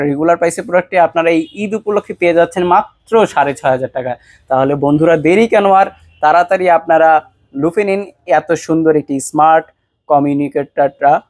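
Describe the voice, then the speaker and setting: A Bangladeshi man delivers a promotional sales pitch in a fairly high voice. He addresses viewers directly, in the persuasive way of a shop presenter. The sound is very dry, with hardly any room to it. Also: dry recording. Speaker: shop presenter selling a product. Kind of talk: promotional product pitch to camera.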